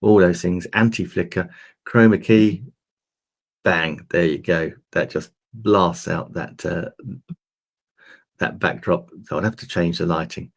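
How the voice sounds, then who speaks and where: A man hosting a livestream, chatting loosely through a software and gear demo with lots of fillers, his voice a little croaky. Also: low-pitched, steady tone, measured pace. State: slightly croaky voice. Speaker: host demonstrating streaming gear. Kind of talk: casual tech demo talk. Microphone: desk microphone.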